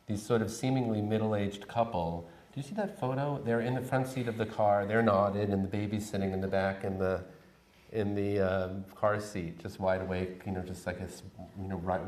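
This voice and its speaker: Loud rambling man in an echoey room. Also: on the low side. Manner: rambling, halting, many fillers. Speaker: panelist. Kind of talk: panel discussion.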